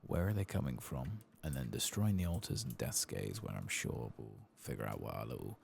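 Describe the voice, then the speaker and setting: The soft, very low voice of a man on a late-night ASMR game stream, murmuring and half-whispering as he plays, a faint hiss behind him. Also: a little fast, vocal fry. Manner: soft-spoken, often slipping into whispers. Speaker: host of an ASMR game playthrough.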